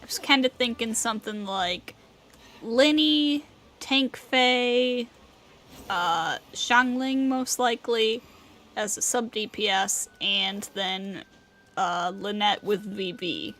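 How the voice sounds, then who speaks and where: A woman's voice: mid-range voice, slow pace, a bit bright. A woman streamer giving casual gaming commentary, chatty and thinking aloud with laughs.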